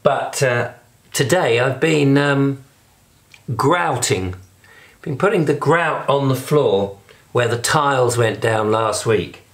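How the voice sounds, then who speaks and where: A man from England with a hoarse, recovering voice, chatting casually in a rambling video diary. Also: mid-range voice, takes it slowly. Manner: rambling, chatty, self-deprecating. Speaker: video blogger. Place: indoors at home and in a flat.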